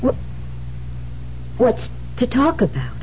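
A woman's voice: fairly high pitch, huge pitch swings, heavily acted. An actress playing an uneasy, hesitant young wife hiding her dread in a 1970s radio drama. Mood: guarded unease. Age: young adult. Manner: hesitant, halting. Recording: muffled, noisy 1970s radio recording.

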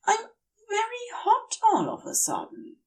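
A woman voicing a feverish, muddled male being, polite and fretful, in a soft, hesitant voice for an audio story. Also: very high-pitched, wildly swooping pitch, a little slow, far from the mic, pitched above the performer's own voice, heavily acted. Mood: earnest, muddled. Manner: hesitant, plaintive.

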